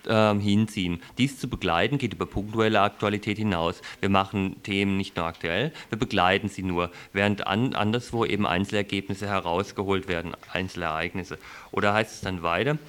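A man talking in a radio studio, explaining editorial plans earnestly in long, halting sentences. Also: low-pitched, fairly bright tone, smooth. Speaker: radio editor presenting a programme.

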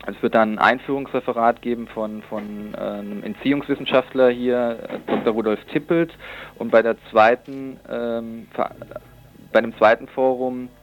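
A man's voice: medium pitch, steady tone, poor audio. Hesitant young man in an informal interview. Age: young adult. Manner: hesitant, halting, searching for words. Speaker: student activist guest.

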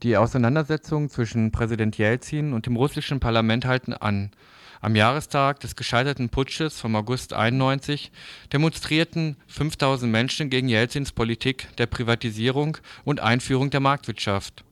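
A male news presenter with a crisp, resonant voice reads scripted previews. His delivery is formal and measured. The sound is close and dry, with very little room. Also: mid-range voice, dry recording.

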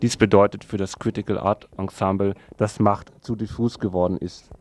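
A man with a fairly low voice introduces a piece as a radio presenter. His delivery is flat and even, semi-scripted. There is faint noise and clicking on the recording. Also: normal speed.

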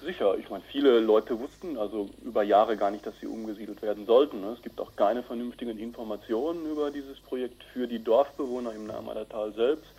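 Husky, mellow-voiced man explaining. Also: medium pitch, brisk, hardly any pauses, poor audio. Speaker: interviewed activist reporting on an Indian dam project. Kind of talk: informal radio interview.

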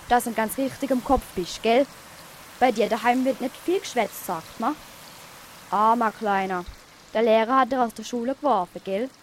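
A woman's voice: a little high, slight background noise, close to an everyday voice. A woman playing a brash, wounded male would-be gangster rapper with a Swabian accent and pushy, slangy talk in a radio play. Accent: Swabian German.

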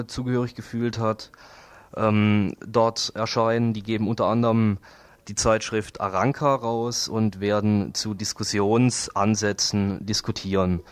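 Low-voiced guy doing community radio announcements, hesitant, informal, bone dry sound. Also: no room sound. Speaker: radio presenter.

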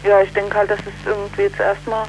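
Female activist interviewee, mid-pitched, halting and searching delivery, faintly noisy recording. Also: completely flat delivery. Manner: halting, hesitant, often ending on question tags. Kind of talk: spontaneous political interview.

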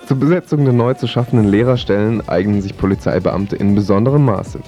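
A man's voice: fairly low pitch, a little fast, dark tone. A man reading scripted satire as a radio presenter. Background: music.

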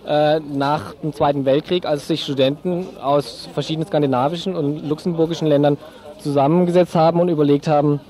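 Mellow, higher-voiced young man, hesitant. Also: fairly even intonation, brisk. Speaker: student organiser being interviewed. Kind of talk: spontaneous street interview. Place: outdoors on a city street.

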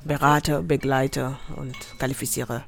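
A man's voice: mid-range voice, level tone, unhurried, vocal fry. A man from Senegal, an adult education seminar leader, talking spontaneously in an interview.

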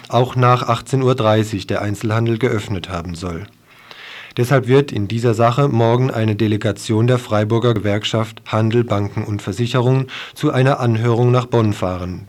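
A man's voice: lowish voice, darkish tone. A man presenting a scripted news report on the radio.

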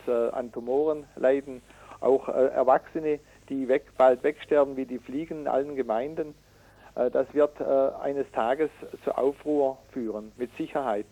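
A man being interviewed as an environmental activist, speaking haltingly with frequent hesitations and self-corrections, in an indignant, emphatic way. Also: medium pitch, mellow, muffled recording. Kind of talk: radio interview, reading out figures and arguing.

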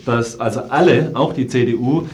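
A man's voice: mid-range voice, moderate pace, a little mellow. A man speaking spontaneously as an interview guest on politics, earnest and often searching for his words.

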